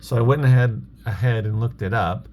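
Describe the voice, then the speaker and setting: A man's voice: mid-range voice, moderate pace, a little mellow. A man chatting casually in a vlog about his own work, offhand and thinking aloud as he goes. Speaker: online reseller and bookstore owner, vlog host. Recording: clear background noise.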